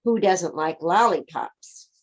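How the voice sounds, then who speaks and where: A woman's voice: on the low side, lively intonation, slow pace. A woman reading a children's picture book aloud, expressively, speaking directly to young listeners. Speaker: storybook reader.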